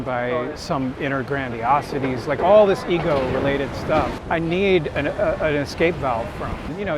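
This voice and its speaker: Earnest man talking over city street noise. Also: medium pitch. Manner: earnest, candid, introspective. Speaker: street photographer and workshop teacher. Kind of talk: reflective casual talk while walking. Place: outdoors on a busy city street. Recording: noisy city street with traffic. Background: street ambience and vehicles.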